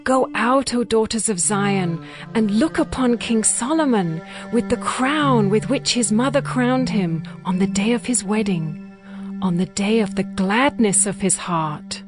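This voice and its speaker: An actress playing a young, ardent bride in a dramatized scripture reading, speaking in a measured, lyrical and earnest way. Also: female voice, mid-range voice, music in the background, close to an everyday voice. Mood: awed, proud. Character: young bride longing for her beloved, ardent and poetic.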